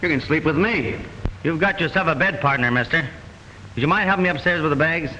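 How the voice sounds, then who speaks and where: An actor playing a joking young detective in 1940s radio drama style. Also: male voice, a little high, fairly quick, pushed and bright, long reverb tail, a little hiss or hum. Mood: breezy. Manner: breezy, joking. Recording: dull old radio recording.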